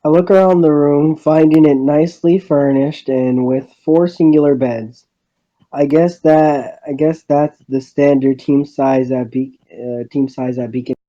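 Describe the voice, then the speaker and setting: A man reading a first-person visual novel story aloud, haltingly and with stumbles over the words. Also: on the high side, fairly even intonation, dark tone, muffled recording.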